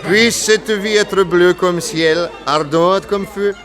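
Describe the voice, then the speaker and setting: A man acting a shrewd, courteous detective with a measured, methodical manner in a French radio serial. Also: sits high, fairly even intonation, loud music behind the voice, a loud crowd. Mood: gallant, ceremonious. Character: shrewd detective, posing as a gallant Russian diplomat.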